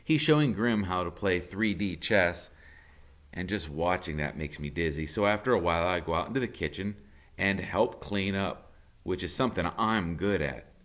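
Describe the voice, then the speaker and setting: Lively, bright-voiced male reader. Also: low-pitched, normal speed. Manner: expressive, conversational. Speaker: teacher reading a novel aloud. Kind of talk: audiobook narration in first person.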